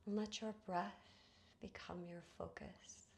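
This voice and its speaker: A woman narrates a guided meditation very slowly and evenly, in a soothing, hushed voice. Her phrases trail into breathiness, with long pauses between them. Faint ambient noise sits behind her. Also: on the low side, fairly even intonation. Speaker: yoga nidra guide.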